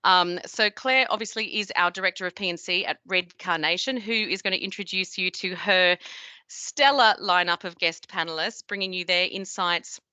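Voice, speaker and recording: Woman event host, bright forceful tone, dry close sound, video call. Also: fairly low pitch, moderate pace, smooth, no room sound. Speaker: festival host. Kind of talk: hosting an online festival session.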